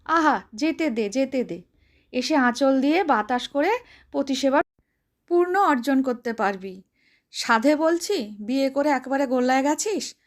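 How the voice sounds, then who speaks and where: A woman storyteller voicing a bossy, sharp-tongued older woman who scolds in brash colloquial speech. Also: sits high, lively intonation, a little slow. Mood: bossy, mocking.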